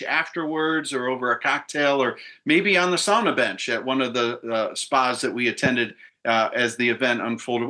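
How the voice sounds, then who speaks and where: A man's voice: medium pitch, pushed and bright. A man hosting a podcast and moderating a panel, asking long, rambling, upbeat questions with many restarts.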